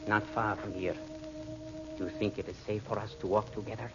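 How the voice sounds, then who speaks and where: An actor playing a proud, guarded young Bedouin chief in an old radio drama, with formal, stately phrasing. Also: male voice, on the low side, steady tone, moderate pace, music in the background, natural voice. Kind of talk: 1940s radio drama acting. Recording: muffled old radio recording.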